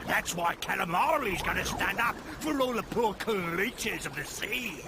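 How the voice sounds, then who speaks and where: An actor voicing an aggrieved, menacing talking squid tentacle in a video game, gravelly and folksy, with a drawl. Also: male voice, high voice, expressive, measured pace, background noise, full character voice. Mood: grumbling, menacing. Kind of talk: cartoonish video game voice acting.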